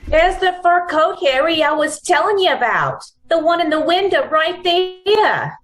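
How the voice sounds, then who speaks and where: A woman acts out an American crook's sweetheart from a 1940s radio crime play. She coaxes sweetly in a very high voice, taking her time over every line. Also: very animated, unhurried, full character voice. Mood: coaxing. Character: coaxing gangster's girlfriend wanting a fur coat.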